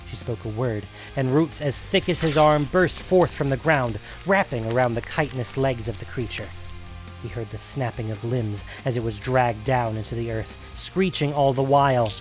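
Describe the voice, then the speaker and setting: A man reading a scripted fantasy story as a dramatic narrator. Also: medium pitch.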